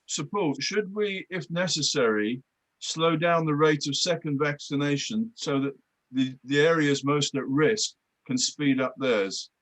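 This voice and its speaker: A man's voice: fairly high pitch, unhurried, fairly bright tone, poor audio. Older man pressing questions on a call. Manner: probing, presses for answers. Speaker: interviewer. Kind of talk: political interview over video call. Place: room at home. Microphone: video call.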